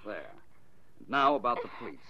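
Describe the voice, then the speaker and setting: An actor in a 1940s radio drama playing a shrewd, unflappable private detective, urbane and wry. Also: male voice, mid-range voice, huge pitch swings, unhurried, vocal fry, a little hiss or hum, full character voice. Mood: wry, triumphant. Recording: muffled vintage 1940s radio recording.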